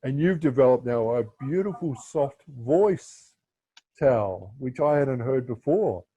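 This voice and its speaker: A man's voice: medium pitch, animated, slow, dark tone, husky, muffled recording. A man hosting and judging a speech club on a video call, encouraging and repeating himself as he talks. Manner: encouraging, repeats words, trails into restarts. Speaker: speech club host and judge. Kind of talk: casual meeting chat.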